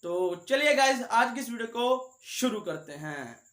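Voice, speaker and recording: Young male vlogger, very high-pitched voice, addressing viewers in an echoey room. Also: sing-song, unhurried, very noisy. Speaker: video creator, host. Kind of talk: vlog talk to viewers.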